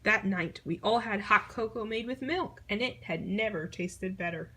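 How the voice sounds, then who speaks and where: Woman, bright crisp-edged tone, medium pitch, expressive storybook narration, scripted read-aloud. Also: moderate pace, low-quality recording. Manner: expressive storytelling, acting out short exclamations. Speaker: picture book reader.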